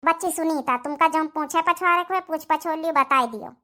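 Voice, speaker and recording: Woman acting a piercingly high-voiced Awadhi village woman. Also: a little fast, sharp tone, soft background music. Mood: earnest, insistent. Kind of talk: cartoon comedy-drama dialogue in village dialect.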